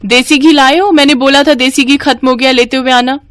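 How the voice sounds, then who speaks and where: A woman voicing a sharp-tongued, sarcastic sister-in-law in a cartoon. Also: sits high, fairly even intonation, brisk, pitched above the performer's own voice. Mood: scolding. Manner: taunting, bossy.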